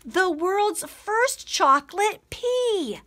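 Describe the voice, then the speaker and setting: A woman voicing an eager, inventive young princess scientist from a storybook, expressive and deliberate. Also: high voice, full character voice. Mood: hopeful.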